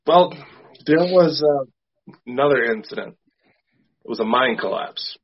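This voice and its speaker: A man co-hosting a casual podcast, calmly laying out folklore and reports, speaking off the cuff. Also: medium pitch, husky. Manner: measured, explains folklore, unscripted. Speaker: podcast co-host. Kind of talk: casual chat. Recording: dull lo-fi.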